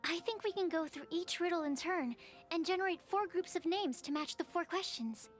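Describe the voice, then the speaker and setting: Very high-pitched, polite bookish young scholar. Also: female voice, normal speed. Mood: earnest, thoughtful. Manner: earnest, careful, hesitant, explanatory. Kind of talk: scripted video game dialogue. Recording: music behind the voice.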